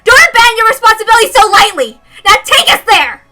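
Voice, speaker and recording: A woman voicing a fierce, forceful young girl in a story, loud, urgent and demanding. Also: very high voice, sing-song, quick pace, soft background music, pitched above the performer's own voice, heavily acted. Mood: furious, commanding.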